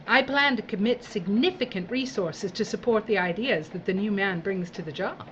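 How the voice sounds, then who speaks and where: A woman's voice: mid-range voice, moderate pace, muffled recording, a little hiss or hum, close to an everyday voice. An actress playing a domineering, sadistic female boss in an audio drama, with a condescending, mock-sweet, imperious drawl. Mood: gleeful, scheming. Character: domineering, theatrical, sadistic female boss of dystopian work crews. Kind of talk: theatrical audio drama acting.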